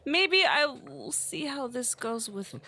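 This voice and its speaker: A woman plays an uneasy amnesiac in a tabletop game. Her bright voice is halting and tentative, swooping as she weighs things up. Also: high-pitched, lively intonation, vocal fry, voice pushed up, full character voice. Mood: hesitant, uneasy. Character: wary, hesitant female amnesiac with a tampered-with brain.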